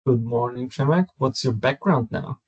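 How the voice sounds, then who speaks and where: A man in his forties hosts a casual chat over a video call. His voice is slightly husky at a middle pitch, and he speaks in a friendly, upbeat way. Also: moderate pace. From Poland. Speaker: podcast host.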